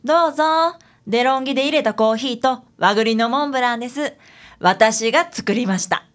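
A woman's voice: fairly high pitch, brisk, natural voice. An actress playing a cheerful, chatty female detective's assistant in a radio drama, with a Kansai accent. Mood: breezy, chatty. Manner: chatty, breezy.

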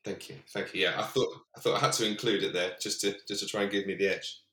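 Self-deprecating man with a deep voice in a roomy echo. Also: fairly even intonation, quick pace, bright, forceful tone. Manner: self-deprecating, laughs while talking. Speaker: podcast guest. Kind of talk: casual chat.

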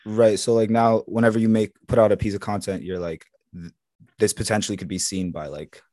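A young man born in Egypt, native in Arabic, speaking with an American accent as a podcast guest in relaxed, filler-heavy chat. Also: lowish voice, fairly quick, dry recording, clean studio sound. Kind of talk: casual conversation.

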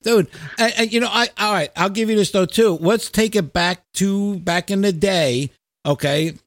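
A man's voice: high voice, normal speed, no room sound. A middle-aged man hosting a casual chat podcast, talking in a blunt, emphatic, opinionated way with plenty of swearing. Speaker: podcast host.

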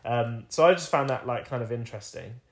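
A man with a croaky voice, co-hosting a casual film review podcast and rambling through anecdotes with frequent ums and laughs. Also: medium pitch, animated, brisk. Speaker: podcast co-host.

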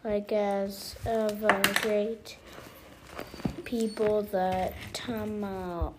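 Young reader, very slow and even-toned, stumbling through scripture, noisy home recording. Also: medium pitch. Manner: stumbles over hard words. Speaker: family member taking a turn reading. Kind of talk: halting scripture reading aloud.

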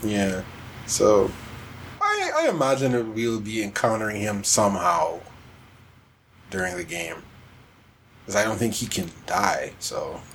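A man's voice: lowish voice, big pitch swings, measured pace, long pauses, a bit bright, slight background noise. A man co-hosting a podcast, talking casually about video games in a conversational way. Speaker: podcast co-host. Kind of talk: casual video game discussion.

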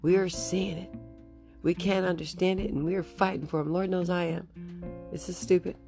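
A woman hosting her own podcast, speaking in a rambling, casual monologue with a raspy voice she is losing, and breaking into nervous laughs. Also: fairly low pitch, steady tone, moderate pace, music in the background. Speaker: podcast host.

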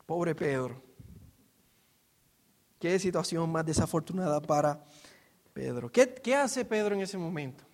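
High-pitched Puerto Rican male preacher. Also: expressive, a little slow, long pauses, a little mellow. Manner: informal, acts out quotes and asks rhetorical questions. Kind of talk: expository sermon, conversational storytelling.

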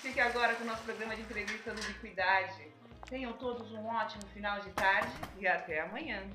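A polished Brazilian woman announcer, played by an actress on a local radio station in an audio drama. She sounds presentational and reassuring at a middling pitch. Her voice has a roomy echo, with music behind it. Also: a little fast, natural voice. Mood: upbeat, reassuring.

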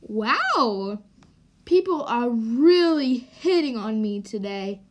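Woman acting a cocky young storybook hero, very high voice, slow pace, teasing, boastful, amateur radio play. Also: big pitch swings.

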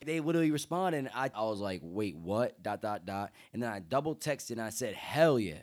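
A young man talking in a casual conversation as a guest, earnest and getting loud and excited as he speaks. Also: lowish voice, brisk. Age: young adult.

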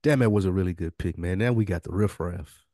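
Low-voiced man, dry close sound, casual. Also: a little fast, mellow, no echo. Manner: informal, slangy, thinking aloud. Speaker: podcast co-host. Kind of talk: casual banter about a TV series fantasy draft.

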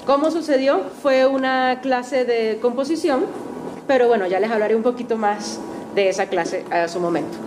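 Venezuelan woman guest speaker, bright-ish higher voice, chatty and self-mocking, noisy hall recording. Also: moderate pace. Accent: Venezuelan Spanish. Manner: chatty, self-deprecating, with light laughs. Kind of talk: lecture talk to a class. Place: university auditorium.

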